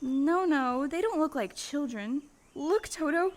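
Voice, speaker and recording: A woman's voice: sits high, animated, slow. A woman playing an earnest, bewildered young farm girl in an audio play, speaking with breathless, exclamatory wonder. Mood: hushed wonder. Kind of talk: stage-style audio play acting.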